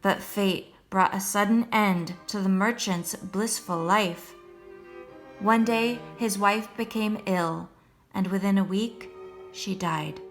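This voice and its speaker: A woman reading a children's story aloud as a storyteller, in a measured, expressive way meant for young listeners. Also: mid-range voice. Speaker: storyteller, first-grade teacher. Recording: music behind the voice.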